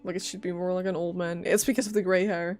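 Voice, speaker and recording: A woman's voice: on the low side, little pitch movement, fast talker, darkish tone. A woman live streaming a game, casually reading along and reacting with sarcastic, irreverent quips. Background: game music.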